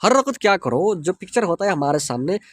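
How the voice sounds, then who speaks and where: A man with an Indian accent reads a moral story aloud to teach English. He spells out words and translates them into Hindi. His voice is high and very animated, recorded dry and close to the mic. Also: no echo. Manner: reads English lines slowly, then explains quickly in Hindi. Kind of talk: reading a story aloud and explaining it word by word.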